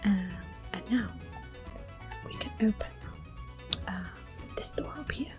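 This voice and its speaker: A woman doing ASMR gameplay commentary in a soft, breathy, gentle voice, mostly whispered. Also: medium pitch, very animated, over music. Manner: gentle, unhurried. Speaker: game streamer.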